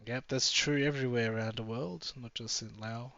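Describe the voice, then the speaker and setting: A man chatting his way along a drive, thinking out loud and wandering between topics. His voice sits mid-range, recorded dry and close inside a car, with a slightly dull, muffled edge. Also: medium pitch, fairly even intonation, moderate pace, creaky, no room sound. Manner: chatty, musing aloud, easily sidetracked. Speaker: travel vlogger. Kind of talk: casual running commentary from a car.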